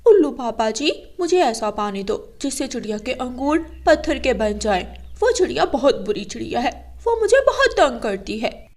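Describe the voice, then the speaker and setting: A woman's voice: high-pitched, big pitch swings, normal speed. A woman voicing a spiteful, vengeful female crow villain in a cartoon, scheming and complaining. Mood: wheedling.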